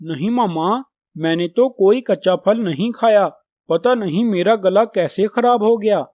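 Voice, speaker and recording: Man voicing a high-pitched stubborn young cartoon crow. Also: a little slow, a little mellow, no echo. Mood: denying. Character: stubborn, greedy young male crow who fibs. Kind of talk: Hindi children's cartoon dubbing.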